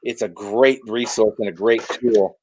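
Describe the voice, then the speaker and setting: A man talking casually as a guest collector, halting and restarting, friendly and agreeable. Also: on the low side, steady tone, darkish tone, a little hiss or hum. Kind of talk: casual chat about vinyl records.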